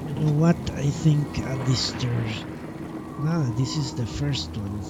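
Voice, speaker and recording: A man's voice: medium pitch, slow pace, very noisy. A man streaming a video game with casual live commentary, muttering to himself and swearing now and then. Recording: loud game music behind voice. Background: loud video game music.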